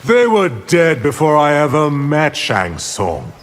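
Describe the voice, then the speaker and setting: Slow, theatrical scheming sorcerer villain. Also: male voice, a little high, wildly swooping pitch, very noisy, full character voice. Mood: amused menace. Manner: measured, theatrical, sneering delivery. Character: scheming, smug male sorcerer villain, menacing and mocking. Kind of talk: video game character dialogue.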